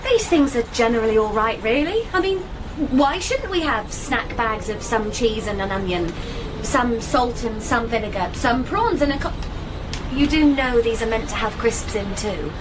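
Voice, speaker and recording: An actress playing a wry, scheming young woman who narrates and talks in a deadpan, wordplay-heavy radio comedy drama. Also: a little high, moderate pace, over music, close to an everyday voice, rough recording. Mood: exasperated.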